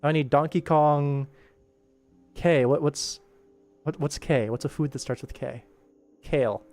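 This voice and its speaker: A man's voice: a little high, fairly even intonation, a little slow, a little mellow. A man talks casually through his work on a livestream, rambling and muttering to himself as he thinks aloud. Game soundtrack music plays behind his voice.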